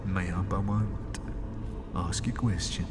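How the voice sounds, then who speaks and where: A man voicing a chatty, crude male ghost of a grave robber who speaks in a rough street dialect and cackles between his sentences. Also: deep voice, fairly even intonation, takes it slowly, noisy background. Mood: rambling. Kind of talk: fan audio drama acting. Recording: long hall reverb under loud music.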